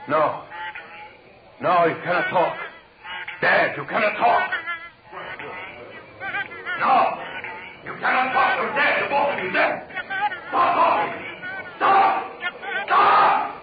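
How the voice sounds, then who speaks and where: A man's voice: high voice, expressive, takes it slowly, pauses often, crowd noise. An actor playing a tormented, murderous showman in a 1930s radio horror play, melodramatic and muttering obsessively in repeated phrases. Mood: hysterical terror. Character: tormented murderous showman haunted by talking shrunken corpses. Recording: muffled, noisy 1930s radio recording.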